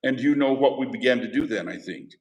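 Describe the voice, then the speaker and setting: A man teaching history to a class, talking in an easy, conversational way and stopping to quiz his students. His voice sits at a middle pitch. The classroom gives it a clear echo. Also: brisk, a little mellow. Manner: conversational, asks the class questions. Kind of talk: classroom lecture. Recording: echoey classroom.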